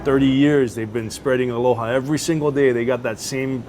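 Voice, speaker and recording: A man who deals with visitors every day talks loosely in an interview, dropping local slang. His pitch is ordinary middle range. Music plays clearly under him. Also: steady tone, brisk. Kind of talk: interview talk.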